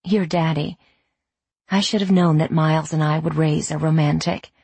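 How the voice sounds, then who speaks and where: A woman voicing a tender grieving grandmother in an audiobook, hushed, soothing and sighing. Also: sits low, steady tone, normal speed, creaky, breathy edge, pitched below the performer's own voice, exaggerated voice. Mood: wistful, tender. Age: middle-aged or older figure. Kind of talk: audiobook character dialogue.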